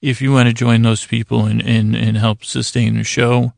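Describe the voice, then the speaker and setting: A man hosting a bedtime podcast in a meandering, halting monologue, gently silly and self-deprecating, with words repeated and thoughts drifting off into tangents. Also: mid-range voice, little pitch movement, dry recording, studio quality. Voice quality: gravelly, creaky. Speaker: podcast host. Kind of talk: rambling bedtime monologue to help listeners sleep.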